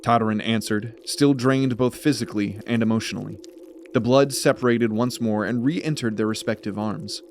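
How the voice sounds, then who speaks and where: A man's voice: on the low side, steady tone, moderate pace, a little mellow, slight background noise. A man narrating a fantasy audio drama as a scripted, dramatic story.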